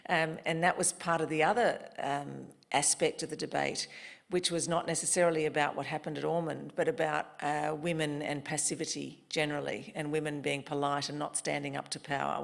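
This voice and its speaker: A woman on a live panel, measured and dry with wry asides. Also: deep voice, vocal fry.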